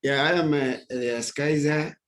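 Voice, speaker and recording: A man's voice: on the high side, steady tone, a little hiss or hum. A man who works as a linguist introduces himself on a video call, stumbling over words and restarting often. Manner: halting, with many restarts. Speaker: linguist and lecturer. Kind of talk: self-introduction at an online conference.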